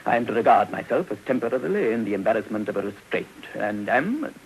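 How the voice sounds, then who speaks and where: An actor playing a pompous, florid debtor husband, theatrically tragic yet hopeful, in 1950s radio drama. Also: male voice, on the low side, very animated, fast, low-quality recording. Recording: hissy old 1950s radio recording.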